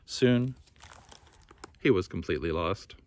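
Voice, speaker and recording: A man's voice: sits low, lively intonation, unhurried, a little mellow. A man narrating a children's fairy tale expressively as a storybook reader. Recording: noticeable background noise.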